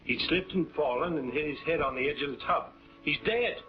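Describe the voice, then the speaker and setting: A man's voice: on the high side, fairly quick, close to an everyday voice. A man playing a grave greenhouse keeper in a late-1940s television drama, speaking in terse statements. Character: grave greenhouse keeper bearing bad news. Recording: muffled 1940s film soundtrack with music.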